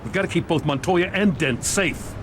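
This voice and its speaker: A man's voice: on the high side, expressive, moderate pace, lightly acted. A man playing a weary police commissioner. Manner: weary, earnest. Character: weary middle-aged male police commissioner. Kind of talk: video game voice acting. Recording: game audio with background noise.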